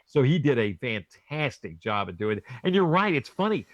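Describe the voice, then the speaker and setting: Eager co-host man, mid-pitched, tumbling restarts, dry sound. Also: big pitch swings, normal speed, no echo, muffled recording. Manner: enthusiastic, repeating and restarting words. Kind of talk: casual chat.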